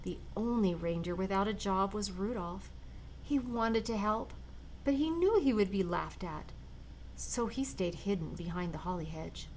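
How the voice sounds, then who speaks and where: An older woman, a grandmother, reading a children's picture book aloud in a gentle, expressive way. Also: mid-range voice, unhurried, slight background noise, poor audio. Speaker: storyteller grandmother.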